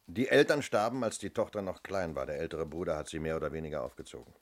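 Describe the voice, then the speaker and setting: Male actor as police inspector, low voice, methodical, measured, probing, matter-of-fact, radio play. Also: lightly acted. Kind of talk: 1970s German radio play acting.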